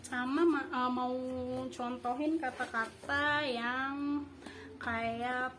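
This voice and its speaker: A young woman speaks in a high voice. She teaches in an informal, improvised way, stopping to search for words and correct herself. There is clear noise in the recording. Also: steady tone, fairly bright tone. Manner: halting, improvised explaining with frequent self-corrections. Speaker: host teaching basic Japanese. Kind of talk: informal language review lesson.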